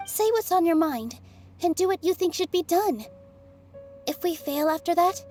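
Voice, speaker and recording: High sweet-voiced dancer girl, unsure but earnest, bit of music behind. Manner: gentle, unsure at first, then heartfelt. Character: shy, earnest young woman dancer.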